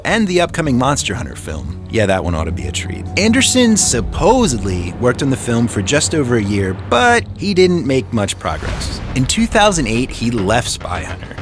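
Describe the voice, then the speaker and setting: A man narrating a scripted video essay as host, with dry, sarcastic asides. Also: mid-range voice. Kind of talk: scripted narration, film and game history. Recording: voice-over with music underneath. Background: background music.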